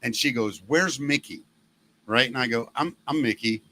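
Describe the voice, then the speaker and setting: A middle-aged man hosting a casual podcast, a former radio DJ with a loud, gravelly former smoker's voice who tells stories and acts out the voices he quotes. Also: medium pitch, normal speed, a little hiss or hum. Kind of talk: casual chat.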